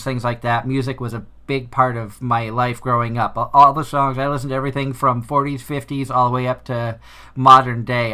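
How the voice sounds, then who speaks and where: Brief: man, Boston accent, host, bright forceful voice, loose chatty delivery. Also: mid-range voice, clean tone. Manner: chatty, rambling with restarts. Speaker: podcast host. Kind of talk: casual chat.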